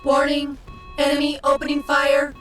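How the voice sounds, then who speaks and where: A woman's voice: high voice, monotone, moderate pace, recorded from a distance, over loud music, lightly acted. A woman voicing an impersonal starship computer giving alerts in a sci-fi audio drama. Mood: flat warning.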